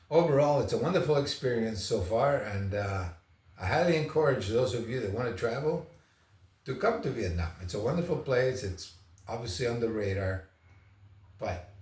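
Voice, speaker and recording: Low-voiced man vlogging in an echoey room. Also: darkish tone. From Argentina. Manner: rambling, anecdotal, conversational. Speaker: travel vlogger. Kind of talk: casual first-impressions vlog talk. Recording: roomy echo, distant microphone.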